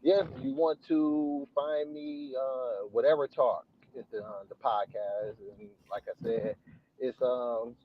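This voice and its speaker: Halting man on dull remote call audio. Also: mid-range voice, fairly even intonation, slight background noise. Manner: halting, stammers and restarts, laughs easily. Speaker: podcast guest. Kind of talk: casual podcast chat.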